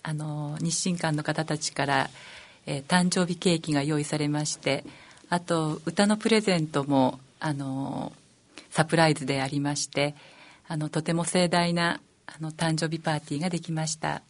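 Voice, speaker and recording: A woman's voice: deep voice, fairly even intonation, normal speed. A woman guest giving polite, hesitant interview answers with many fillers. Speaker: amateur performer, programme guest.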